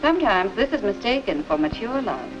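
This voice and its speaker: An actress playing a gentle, wise middle-aged American mother giving measured, instructive advice in a 1950 educational film. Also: medium pitch, big pitch swings, natural voice. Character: gentle, wise mother advising her daughter. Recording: dull vintage film sound, music behind.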